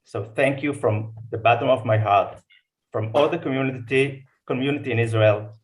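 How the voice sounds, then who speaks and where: A man's voice: medium pitch, moderate pace. A man giving effusive thanks and praise at a live online event. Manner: effusive, grateful. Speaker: event participant giving feedback.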